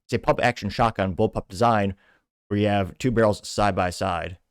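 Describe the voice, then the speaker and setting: American man, lowish voice, casual rambling commentary host who wanders into asides; dry recording. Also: moderate pace, no echo. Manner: rambling, digressive, with offhand asides. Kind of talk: casual political commentary, reading out viewer comments.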